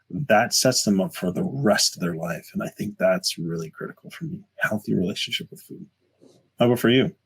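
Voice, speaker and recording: A man's voice: on the low side, slightly rough. A man co-hosting a podcast, talking in an earnest, reflective way and often repeating words. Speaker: podcast co-host. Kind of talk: casual podcast chat.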